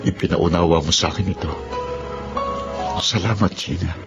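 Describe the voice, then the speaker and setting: A very deep-voiced, contrite husband. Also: male voice, steady tone, moderate pace. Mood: remorseful, grateful. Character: remorseful dependent husband and son, earnest family man. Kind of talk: Filipino radio drama acting. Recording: dull lo-fi audio under loud music.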